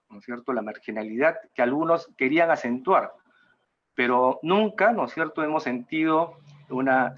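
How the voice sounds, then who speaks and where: Peruvian man lecturing, dull lo-fi audio. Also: medium pitch. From Peru. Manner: halting, repeatedly adds tag questions seeking agreement. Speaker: guest speaker at a cultural talk. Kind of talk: informal lecture in an online talk.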